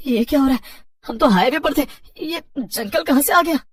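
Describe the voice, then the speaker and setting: A woman's voice: high voice, animated, normal speed, no room sound, studio quality, far from the mic, pitched above the performer's own voice. A woman voicing a frightened young man with urgent, dramatic delivery in a horror story.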